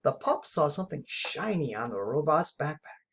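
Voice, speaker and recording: A reader telling a children's adventure story aloud in an expressive, emphatic way. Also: medium pitch, moderate pace, slightly rough.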